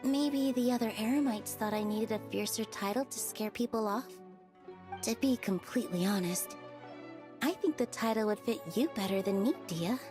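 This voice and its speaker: A woman voicing a modest, gentle desert mercenary in a video game, earnest and self-effacing. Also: mid-range voice, moderate pace, natural voice. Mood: sincere. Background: background music.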